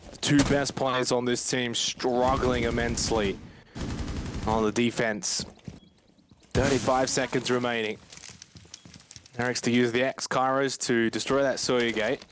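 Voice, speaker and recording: A man's voice: medium pitch, flat delivery, unhurried, long pauses, background noise. A man giving live esports commentary with banter, blunt and critical in the way he talks. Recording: clear game gunfire behind the voice. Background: game gunfire and clicks.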